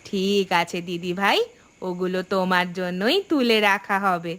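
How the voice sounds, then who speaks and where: Woman as a gentle, modest older mother-in-law. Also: lowish voice, fairly even intonation, a little slow. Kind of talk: Bengali cartoon family story dubbing. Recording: music loud behind the voice.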